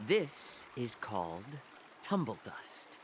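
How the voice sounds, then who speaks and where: Sly, lilting healer, swooping pitch. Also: male voice, very animated, slow, a little hiss or hum. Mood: sly, explaining. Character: wry, courteous adult male healer and advisor, sly and talkative. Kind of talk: video game voice acting.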